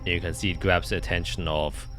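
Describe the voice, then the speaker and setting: A man's voice: deep voice, flat delivery, fast talker, music in the background. A man running a tabletop roleplaying game, casually explaining rules and narrating scenes. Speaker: game master.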